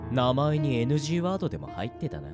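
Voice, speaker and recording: A man acting in an audio drama as a cocky veteran death-game player who teases his rivals in rough, casual speech. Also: mid-range voice, huge pitch swings, quick pace, over music. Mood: mocking, amused.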